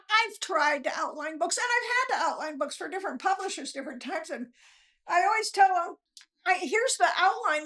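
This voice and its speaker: An older woman with an extremely high voice. She talks as a guest author in a casual interview, rambling along in a self-deprecating, chuckly way. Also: fairly bright tone.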